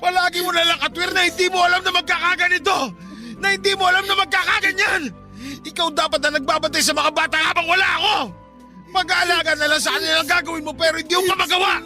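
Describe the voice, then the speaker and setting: High-strained, anguished, furious father. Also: fairly even intonation, quick pace, soft background music, exaggerated voice. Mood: furious, accusing. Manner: anguished, shouting, accusatory delivery. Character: grieving, furious working-class father blaming his wife. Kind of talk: melodramatic radio drama acting.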